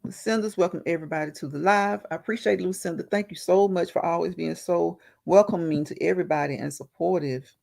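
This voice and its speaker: Gravelly-voiced woman hosting a live chat. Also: fairly low pitch, brisk, few pauses, a little mellow. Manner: laughs often, greets viewers by name. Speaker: livestream host reading chat.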